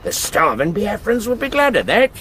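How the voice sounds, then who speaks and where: Cheeky English crook, swooping delivery. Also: male voice, high-pitched, huge pitch swings, normal speed, fairly bright tone, a little hiss or hum, full character voice. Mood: mocking, cheerful. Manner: sardonic, jokey, colloquial. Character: cheeky, mocking working-class mechanic and crook. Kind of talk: radio drama acting.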